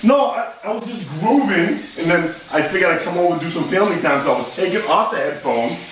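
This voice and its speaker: Man, middle-aged, animated self-mocking storyteller, distant mic, noisy background. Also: high voice, fast talker. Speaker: visiting father. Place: apartment dining room. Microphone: far camera. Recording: echoey room from far off, noisy.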